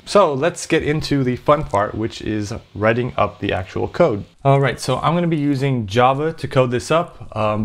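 A man hosting a programming tutorial, explaining step by step in a conversational way. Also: mid-range voice.

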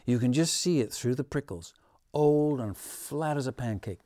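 A man voicing excitable neighbourhood children passing on scary rumours in a storybook, dramatic and full of warning. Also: mid-range voice, moderate pace, dry recording, studio quality, pitched above the performer's own voice, lightly acted.

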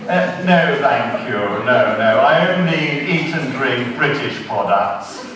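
A man's voice: mid-range voice, lively intonation, slow, noisy background. A man playing a fussy, pompous diner who wants only British food, in an amateur stage sketch recorded from far off in an echoey hall. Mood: indignant. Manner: blustering, indignant, pompous. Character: fussy patriotic English diner, middle-aged man. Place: large hall stage with audience. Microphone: far camera.

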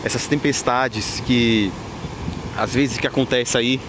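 Brazilian man vlogging in loud wind noise. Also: medium pitch, fairly even intonation, takes it slowly. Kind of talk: unscripted walking vlog commentary. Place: outdoors on an open windy beach. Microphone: handheld camera mic, behind the camera. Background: wind and outdoor ambience.

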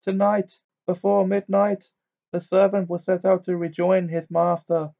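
A man voicing a dreamy, eccentric older woman who teaches divination, with a high, breathy, fussing manner. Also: completely flat delivery, unhurried, dark tone. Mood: harsh trance. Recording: dull lo-fi recording.

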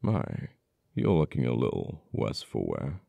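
An actor playing an uptight government official in an ASMR roleplay, cold and teasing toward a captured old friend, speaking deliberately with dramatic pauses. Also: male voice, a bass voice, huge pitch swings, creaky, dark tone, no room sound, dull audio, full character voice.

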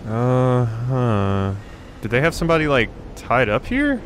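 A man's voice: mid-range voice, big pitch swings, measured pace, a little hiss or hum. A man giving casual let's play commentary, thinking aloud as he goes. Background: game ambience.

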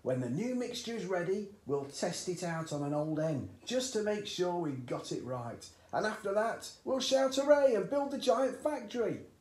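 A man's voice: high-pitched, sing-song, dark tone, pitched above the performer's own voice, full character voice. A man doing a bellowing overexcited father. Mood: excited. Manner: bellowing, gushing, drawn-out exclamations. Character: overexcited loud middle-aged father scheming big. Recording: roomy echoey home recording.

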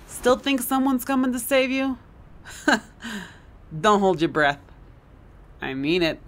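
A man's voice: extremely high pitch, fairly even intonation, slow, fairly bright tone, full character voice. A man playing a callous, mocking kidnapper with casual menace, in an audio roleplay. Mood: taunting, menacing. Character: callous male kidnapper, mocking thug disposing of a hostage.

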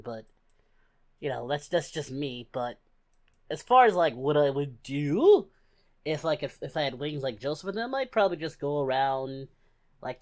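A woman voicing an upbeat young male cartoon fighter, rambling and exclaiming through casual reaction commentary. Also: extremely low pitch. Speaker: reaction video host.

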